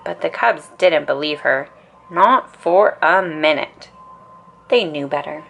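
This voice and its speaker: Low-pitched woman reading a bedtime story. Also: slow pace, gravelly, fairly bright tone. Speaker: storyteller reading a children's book aloud. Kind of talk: expressive bedtime story read-aloud.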